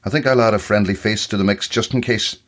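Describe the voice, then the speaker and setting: An actor playing a cheeky, chatty man in an audio drama, with a husky voice and a teasing manner. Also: on the low side, steady tone, fast, fairly bright tone, lightly acted. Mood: wry, suspicious.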